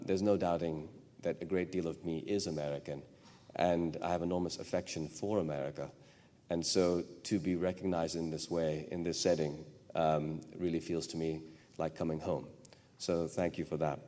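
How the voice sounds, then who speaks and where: A man from Pakistan, a novelist giving an unhurried, thoughtful acceptance speech at an award ceremony in a large hall. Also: deep voice, mellow. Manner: thoughtful, unhurried, speaking off the cuff. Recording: echoey hall reverb.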